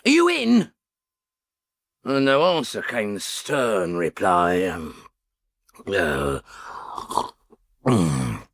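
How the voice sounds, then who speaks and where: An actor playing a tipsy, self-satisfied bohemian painter in a radio drama, rambling and muttering to himself in a creaky voice. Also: male voice, sits low, big pitch swings, very slow, pauses often, a bit bright, no echo, clean studio sound, full character voice. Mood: distracted. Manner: rambling, mumbling to himself, drawling.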